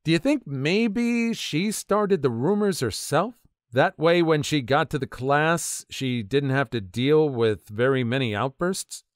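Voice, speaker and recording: A man narrating online stories in a scripted reading style, with an expressive, lively delivery. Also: on the high side, measured pace, no echo, clean studio sound. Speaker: story narrator.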